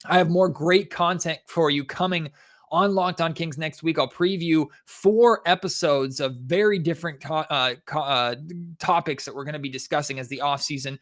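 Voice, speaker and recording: Man, slightly high voice, sports podcast host, conversational solo commentary, talks straight to listeners. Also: normal speed, a bit bright. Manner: conversational, addresses listeners directly.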